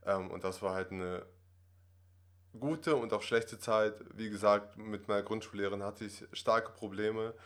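A man's voice: lowish voice, steady tone, a little slow. A man in his twenties who grew up in Russia, talking casually as a podcast guest, rambling with many fillers and tag questions. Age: 20s. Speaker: acting student guest.

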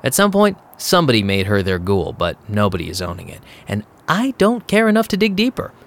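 A man's voice: on the low side, huge pitch swings, a little fast, vocal fry, heavily acted. A man playing a wry, streetwise vampire DJ, narrating an audio drama in a sardonic, casual way.

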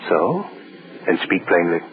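An actor playing a pompous, menacing government official in a 1930s radio drama, curt and commanding. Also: male voice, deep voice, very animated, unhurried, full character voice. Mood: menacing, demanding. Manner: imperious, curt, barking orders. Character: pompous, menacing male state propaganda official. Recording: muffled 1930s radio recording.

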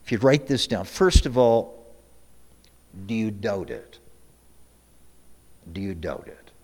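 Man preaching with loud and soft swings. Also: fairly low pitch, expressive, takes it slowly. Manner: repeats key questions, shifts between loud emphasis and quiet asides. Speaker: pastor. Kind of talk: sermon.

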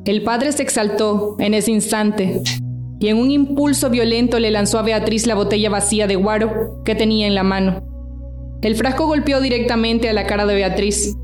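A woman's voice: mid-range voice, a little mellow. A woman reading scripted narration for a radio drama. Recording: roomy echo, faint music underneath.

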